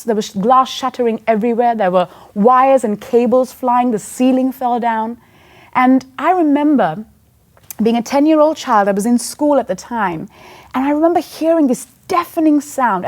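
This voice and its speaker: A woman in her thirties from Sri Lanka speaks to a church gathering through a stage microphone. Her voice sits a little high, and her storytelling is earnest and conversational. She keeps the tone of a sermon as she recounts the story. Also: a little mellow.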